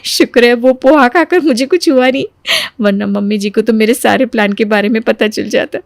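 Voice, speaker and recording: A woman voicing a sly, playful young daughter-in-law in a cartoon story, sugary and expressive while feigning innocence. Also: on the high side, normal speed, creaky, dry recording. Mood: gleeful, scheming. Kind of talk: animated Hindi moral story voice acting.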